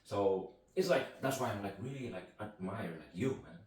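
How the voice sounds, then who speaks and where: A man's voice: on the low side, lively intonation, moderate pace, darkish tone. A man hosting a casual podcast, a native Vietnamese speaker, talking in a loose, rambling way. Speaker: podcast host. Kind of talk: casual conversation. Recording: echoey room, mic from a distance.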